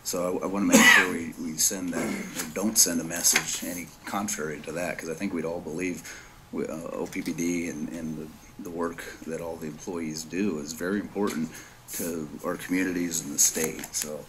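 A man's voice: deep voice, level tone, normal speed. A man serving as a board director, making unscripted remarks at a formal meeting. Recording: noisy meeting room recording.

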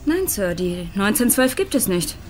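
A woman's voice: medium pitch, very animated, normal speed, natural voice. Polite, apologetic female cruise receptionist played by an actress. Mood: apologetic, firm. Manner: courteous, formal, composed. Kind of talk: naturalistic German radio drama acting. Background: music.

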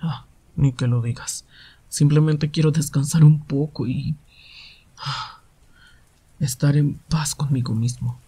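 Man voicing a gloomy anime teacher, mellow, gravelly, slow, tired, reserved. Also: on the high side, fairly even intonation, full character voice. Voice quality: husky, breathy. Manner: tired, sighing, subdued. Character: weary, gloomy, reserved male hero teacher. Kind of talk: anime fan-dub ASMR roleplay.

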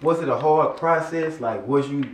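A man interviewing a rapper in a relaxed way, asking casual questions and giving many short affirmations. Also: fairly high pitch, normal speed, recorded from a distance, a little hiss or hum. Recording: echoey room, off-mic.

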